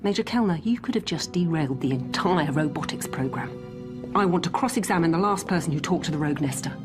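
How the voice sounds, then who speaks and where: A woman's voice: low voice, animated, fairly quick, over music. A woman playing a shrewd, blunt lawyer and robot expert in a radio drama, probing with exacting authority. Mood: stern, insistent.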